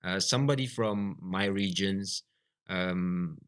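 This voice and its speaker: A Flemish man from Belgium whose first language is Dutch, chatting casually on a live stream, halting and full of fillers. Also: low-pitched, clear, resonant tone. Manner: halting, lots of fillers and restarts. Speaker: livestreamer.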